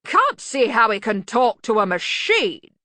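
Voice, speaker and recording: A woman performs a bluff older male wizard in charge, from a comic fantasy audiobook. He blusters loudly and bluntly, missing the point. The delivery is forceful and swoops widely, in a dry recording. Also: medium pitch, big pitch swings, unhurried, no echo, voice pushed up. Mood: blustering, hearty. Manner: blunt, bellowing, obtuse. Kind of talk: acted audiobook dialogue.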